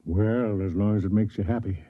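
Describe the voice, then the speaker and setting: A man acting as an older, wry space station official and narrator in a 1950s radio drama, measured and reflective. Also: lowish voice, fairly even intonation, close to an everyday voice. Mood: gently curious. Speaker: narrator and lead actor. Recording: muffled vintage radio recording with music.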